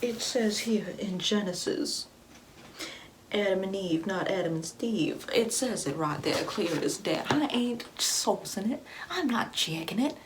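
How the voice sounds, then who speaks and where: A woman playing a judgmental, devout, entitled Karen mother in an ASMR comedy roleplay, preachy and sassy, with whispered asides and drawn-out emphasis. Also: medium pitch, animated, background noise, lightly acted. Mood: disapproving, preachy.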